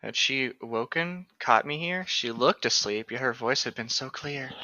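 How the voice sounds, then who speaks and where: Bright-voiced sardonic man on a dull mic. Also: mid-range voice, normal speed, no room sound. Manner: sardonic, bantering. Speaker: co-host of a comedic book-reading show. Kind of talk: casual mocking read-along commentary.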